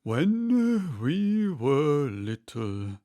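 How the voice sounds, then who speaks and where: Slow, sorrowful, hollow-voiced storybook turtle. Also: male voice, very animated, mellow, no echo, exaggerated voice. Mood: mournful. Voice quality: deep, hollow tone. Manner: very slow, drawn-out, halting delivery. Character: sorrowful, sighing Mock Turtle, slow and irritable. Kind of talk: character voice in storybook reading.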